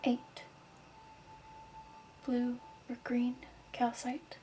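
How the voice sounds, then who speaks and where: A woman whispering softly and breathily in a gentle, measured ASMR roleplay as a shopkeeper. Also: fairly high pitch, completely flat delivery, slight background noise. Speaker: ASMR roleplay host as crystal shop keeper.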